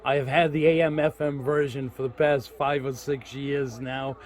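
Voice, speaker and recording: A man's voice: medium pitch, little pitch movement, moderate pace. A man giving a casual hands-on product demo, explaining step by step in an informal, folksy way. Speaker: host of a radio gear review channel.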